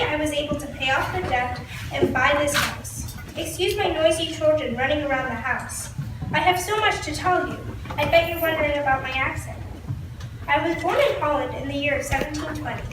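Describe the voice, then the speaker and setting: A woman's voice: sits high, a little fast, natural voice. A woman playing a widowed colonial printer, reading a prepared script and tripping over words now and then. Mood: earnest. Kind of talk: first-person historical role-play. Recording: roomy, distant mic, noisy background.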